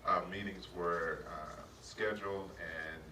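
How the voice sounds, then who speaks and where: Deep-voiced man testifying, halting and formal. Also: steady tone. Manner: hesitant, many filler pauses, says "yes, sir". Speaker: school district official answering questions. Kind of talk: formal testimony at a public meeting. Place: public board meeting room. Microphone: shared table mic, often off-axis. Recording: echoey room, distant mic, noisy.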